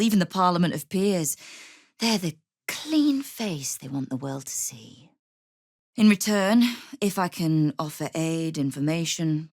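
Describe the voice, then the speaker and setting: A deep-toned female crime boss from a video game. She is tough and sardonic, giving orders and veiled threats with wry authority. The sound is dry, with no room around the voice. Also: no echo, exaggerated voice. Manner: wry, menacing, commanding. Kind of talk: dramatic video game character dialogue.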